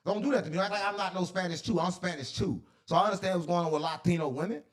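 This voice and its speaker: A man's voice: sits high, racing. A man from the American Midwest with an American accent hosts a casual talk show, arguing in slangy, emphatic bursts and repeating himself. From St. Louis, American Midwest. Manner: emphatic, repetitive, argumentative, slangy. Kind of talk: casual heated banter.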